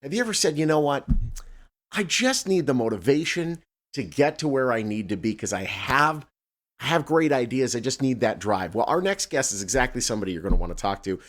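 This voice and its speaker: A man co-hosting a TV talk show, casual and chatty, quick to react with "wow". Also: medium pitch, expressive.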